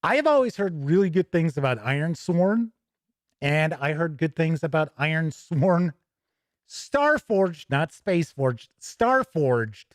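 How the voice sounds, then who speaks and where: A man's voice: on the high side, very animated, unhurried, dry recording, clean studio sound. A man hosting a tabletop gaming news show, chatting casually between read-out product copy, with self-correcting, rambling asides.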